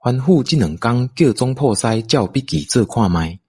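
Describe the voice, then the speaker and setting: A man's voice: medium pitch, animated, normal speed, a little mellow, breathy edge. A man with a Taiwanese accent reading scripted narration for an audio drama.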